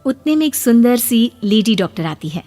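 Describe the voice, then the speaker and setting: A woman's voice: fairly high pitch, big pitch swings, normal speed, mellow. A woman narrating a scripted children's story. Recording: long reverb, music bed.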